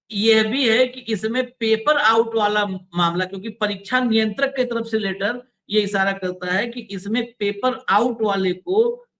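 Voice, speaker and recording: High-pitched man hosting news discussion. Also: a bit bright, poor audio. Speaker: news show host. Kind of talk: news discussion, reading and commenting.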